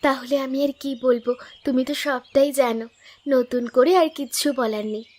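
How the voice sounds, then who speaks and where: A woman's voice: sits high, fairly even intonation, normal speed, a little mellow. A woman voicing a friendly village wife and neighbour in a cartoon folk tale. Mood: friendly, teasing. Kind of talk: animated folk-tale dialogue.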